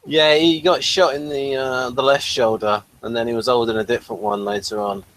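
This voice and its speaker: A man's voice: medium pitch, flat delivery, fairly quick, fairly bright tone, poor audio. A man chatting casually as a co-host about television, hesitantly searching for words and rephrasing as he goes. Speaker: podcast co-host. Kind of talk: casual TV episode discussion.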